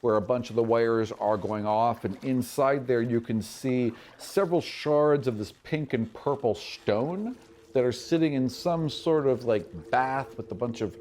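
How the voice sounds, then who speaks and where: A man acts as game master for a fantasy tabletop game, improvising descriptive narration in an informal way. His voice is medium in pitch, with some faint noise behind it. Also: a little slow, darkish tone.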